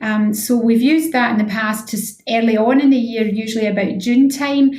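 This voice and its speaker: A woman's voice: fairly high pitch, steady tone, normal speed, darkish tone. A woman chatting informally as an expert guest in a radio interview, explaining things and readily agreeing. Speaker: head of physiotherapy, interview guest. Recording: echoey room sound.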